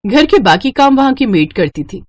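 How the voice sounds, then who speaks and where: Expressive woman narrator, lively intonation. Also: high voice, moderate pace, slight background noise. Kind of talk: scripted storytelling narration for animated moral story.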